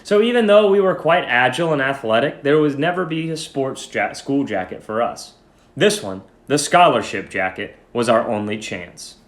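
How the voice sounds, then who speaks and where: A man giving an expressive dramatic reading of a short story, phrasing it deliberately. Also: medium pitch. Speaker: story reader. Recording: roomy echo.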